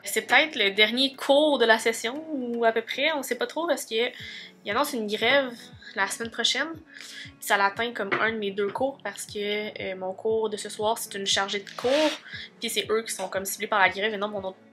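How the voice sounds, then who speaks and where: Young Québécoise vlogger, stuffy cold, rambling casual diary talk, faint music under. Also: female voice, a little high, fairly even intonation, normal speed, bright, forceful tone. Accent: Québécois French. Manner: chatty, many fillers like "fait que" and "tu sais". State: congested from a cold.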